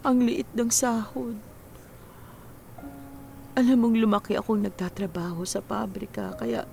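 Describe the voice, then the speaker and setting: A woman's voice: a little high, dark tone. A woman acting in a Filipino radio drama as an earnest, wistful widowed mother in her forties, weary after working overseas.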